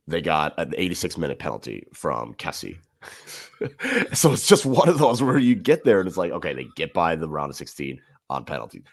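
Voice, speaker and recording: A man's voice: very deep voice, gravelly, no echo, clean studio sound. A man as podcast guest talking through sports in casual chat, rambling and correcting himself as he goes. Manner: animated, rambling analysis with self-corrections.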